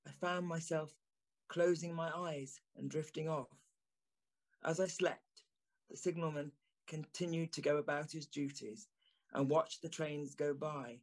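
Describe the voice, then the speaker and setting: A male actor as a gentleman storyteller narrating an eerie Victorian audio play. His voice sits a little high, and his delivery is measured and suspenseful. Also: fairly even intonation, close to an everyday voice, low-quality recording. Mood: reflective. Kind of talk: scripted dramatic narration.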